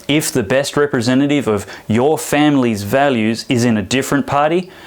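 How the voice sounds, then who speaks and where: Earnest man urging action. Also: mid-range voice. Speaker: political commentator. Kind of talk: scripted address to viewers.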